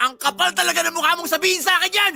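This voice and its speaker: A man's voice: very high-pitched, fairly even intonation, rapid-fire, creaky. A man playing a belligerent, boastful stepfather in a radio drama, with a Filipino accent and a confrontational, domineering way of talking. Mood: angry, bullying. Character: belligerent, boastful live-in stepfather, quick to bully.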